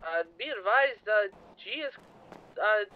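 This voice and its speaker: A man's voice: sits high, lively intonation, measured pace. A man role-playing a police officer, giving hesitant, clipped reports through a radio filter. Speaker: police officer player in roleplay game. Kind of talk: roleplay police radio chatter. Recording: tinny narrow-band radio line, faint noise.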